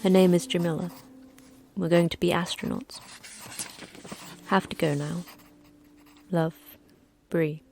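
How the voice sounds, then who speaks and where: A woman playing a sincere, wistful girl in an audio drama monologue, soft and confiding. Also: on the low side, steady tone, takes it slowly, gravelly, pauses often, slight background noise. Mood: wistful, hopeful.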